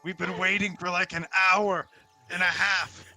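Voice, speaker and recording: Impatient tabletop adventurer male player: highish, piercingly bright, blunt, dismissive. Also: little pitch movement, measured pace, faint music behind, heavily acted. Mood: impatient, sarcastic.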